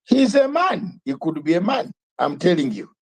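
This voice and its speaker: A man's voice: sits high, big pitch swings, moderate pace, gravelly, husky. An older man hosting a live online talk show, speaking emphatically with repetitions and rhetorical questions. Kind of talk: fiery live commentary.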